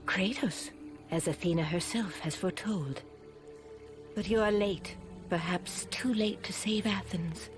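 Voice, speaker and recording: A woman as a hushed, prophetic oracle. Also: medium pitch, unhurried, over music, natural voice. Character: mysterious female oracle, prophetic.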